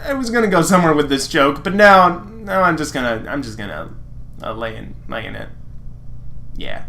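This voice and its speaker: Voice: medium pitch, big pitch swings, moderate pace, vocal fry, pushed and bright. A sarcastic, mocking narrator reading fan fiction aloud and breaking off for dry commentary. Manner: sardonic, mocking. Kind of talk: dramatic reading with sarcastic asides.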